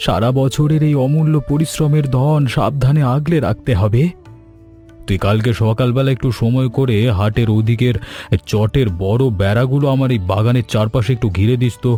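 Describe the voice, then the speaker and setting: Man acting as a plaintive old village farmer, mellow voice. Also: medium pitch, steady tone, normal speed, faint music behind, natural voice. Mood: earnest, planning. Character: distressed old male village banana farmer, lamenting but resolute. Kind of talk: Bengali animated story dialogue.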